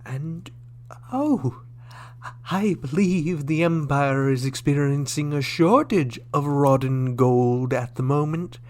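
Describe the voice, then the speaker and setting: A man playing a sly, suavely polite Cardassian ambassador in a sci-fi radio drama, with measured, insinuating courtesy. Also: on the high side, a little mellow. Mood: sly bargaining. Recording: faint background hum and noise.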